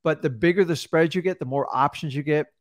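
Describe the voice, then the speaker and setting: A middle-aged man hosting a studio podcast, coaching viewers in a forceful, direct way. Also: fairly high pitch, fast, no echo. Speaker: host. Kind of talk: casual podcast coaching talk. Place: studio with backdrop. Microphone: studio mic on boom arm.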